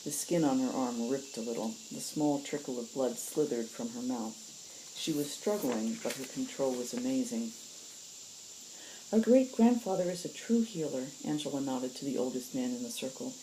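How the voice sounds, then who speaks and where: A woman's voice: a bass voice, fairly even intonation, normal speed, mellow, a little hiss or hum, low-quality recording. A woman storyteller hosting and reading aloud. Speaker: storyteller, show host.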